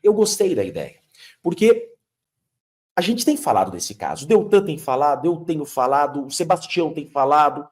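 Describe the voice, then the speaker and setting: A man's voice: on the high side, very animated, normal speed. A man, a lawyer explaining legal matters emphatically, posing rhetorical questions as he lectures. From Curitiba, Brazil. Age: 30s.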